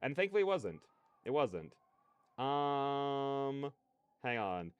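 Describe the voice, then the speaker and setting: A man's voice: mid-range voice, big pitch swings, slow, clean tone, dry recording. A man hosting a livestream, chatting casually in a rambling, slangy, digressive way. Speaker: livestreamer hosting a reaction stream.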